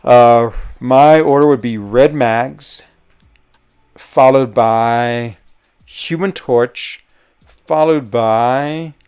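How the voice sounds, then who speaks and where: Smooth-voiced American man streaming casually. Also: medium pitch, extremely slow, a little mellow. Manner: rambling, chatty, hesitant with fillers. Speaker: gaming livestream host. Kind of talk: casual live-stream chat while playing.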